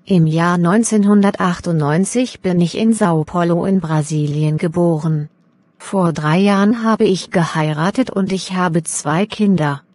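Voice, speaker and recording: A woman voicing a young exam candidate in a scripted role-play, speaking in slow, careful sentences. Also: lowish voice, darkish tone, dry recording. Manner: slow, careful learner's German.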